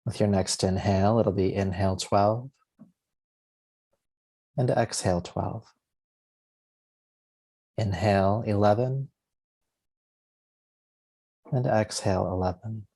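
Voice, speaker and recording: Male meditation guide: low, very soft-edged relaxed tone, gentle and soothing, short unhurried phrases. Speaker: yoga nidra teacher.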